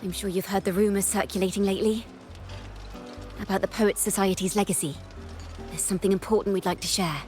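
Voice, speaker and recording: Casting: actress as resolute young female bard, fantasy game, medium pitch, reflective and articulate, over soft music. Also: fairly even intonation, brisk, long pauses, close to an everyday voice. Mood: polite, determined. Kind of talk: measured game dialogue acting.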